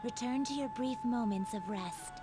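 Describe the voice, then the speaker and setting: Woman as a high-voiced solemn young attendant. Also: lightly acted. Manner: formal, measured. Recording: music behind the voice.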